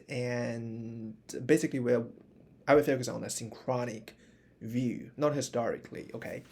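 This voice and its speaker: A young man hosting his own channel, giving a casual spoken lesson with many pauses and restarts, who is not a native speaker of the language he teaches. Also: medium pitch, darkish tone. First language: not Burmese. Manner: hesitant, many fillers and restarts, shows books to camera. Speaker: channel host teaching Burmese.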